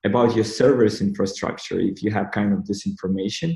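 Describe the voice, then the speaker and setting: Guy on a video call, halting english with lots of uh's, lowish voice, echoey room. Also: fairly even intonation, vocal fry, mellow. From Ukraine. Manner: halting non-native English, many uh fillers. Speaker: interviewer.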